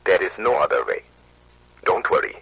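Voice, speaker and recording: Man acting as very deep radio control-room operator. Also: sing-song, normal speed, vocal fry, breathy edge, no echo, highs cut off, heavily acted. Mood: pleading, urgent. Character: urgent male control-center operator giving evacuation orders. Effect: radio filter.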